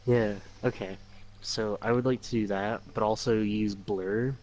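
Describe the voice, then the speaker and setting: A man with a fairly low voice chats as a player in an online role-playing game. His talk is casual, blunt and salty, spoken into a voice chat mic. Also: fairly even intonation, measured pace, darkish tone. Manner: blunt, profane. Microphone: voice chat microphone.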